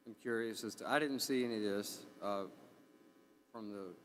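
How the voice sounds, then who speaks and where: A man's voice: on the low side, normal speed. A man speaking as a commissioner at a hearing, plainspoken and conversational in his questions and remarks. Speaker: review commissioner. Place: indoor public meeting room. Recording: echoey meeting room with background noise.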